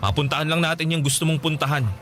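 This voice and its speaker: A man's voice: a little high, steady tone, quick pace, a bit bright, lightly acted. A man playing a torn, defensive boyfriend and devoted father who pleads his case in a Filipino radio drama. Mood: resentful. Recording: music behind the voice.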